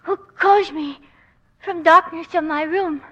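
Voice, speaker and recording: An actress playing a timid, devoted Chinese maid in a 1940s radio drama, speaking soft, formal, stilted broken English. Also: female voice, very high-pitched, steady tone, a little slow, highs cut off, heavily acted. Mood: fearful, earnest.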